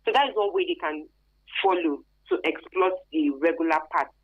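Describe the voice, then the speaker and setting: A woman's voice: low-pitched, expressive, moderate pace, dry recording. A woman with a Nigerian accent explaining things conversationally as an interview guest, often repeating phrases for emphasis. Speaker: radio guest, anti-trafficking expert. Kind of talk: informal explanatory interview answers. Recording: muffled lo-fi radio sound, dry.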